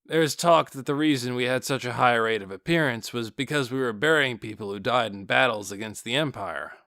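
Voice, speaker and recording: A man's voice: medium pitch, a little fast, pushed and bright, dry recording, clean studio sound. A man voicing an ordinary, chatty night-watch guard in an audiobook, griping in a colloquial way. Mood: musing. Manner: colloquial, laid-back griping. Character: casual, chatty male night-watch guards, uneasy but joking. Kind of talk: audiobook character dialogue.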